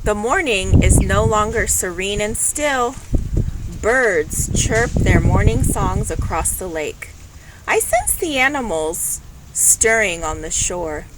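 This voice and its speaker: A woman reading a children's picture book aloud in an expressive, unhurried storytelling manner, with dramatic pauses. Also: medium pitch. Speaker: storybook reader. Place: at home, with construction noise. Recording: home recording with loud background noise. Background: construction noise, animal sounds.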